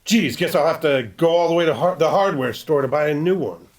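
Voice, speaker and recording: Man as higher-voiced grumbling suburban husband. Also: a little fast, natural voice. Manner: casual, wry, mild. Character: Ted, thirty-year-old grumbling, hesitant suburban husband. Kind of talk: screenplay table read.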